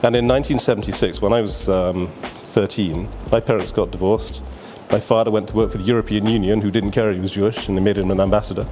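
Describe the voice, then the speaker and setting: A man's voice: fairly low pitch, fairly even intonation, fast, hardly any pauses, a little mellow, a little hiss or hum. A man, a businessman guest telling his life story in a casual, rambling way, often laughing. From Barbados.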